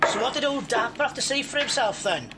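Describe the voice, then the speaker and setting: An actress playing a pretentious, lazy young slacker and snob in an audio drama, pompous and theatrical. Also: female voice, on the high side, expressive, fast talker, heavy background noise. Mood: flippant.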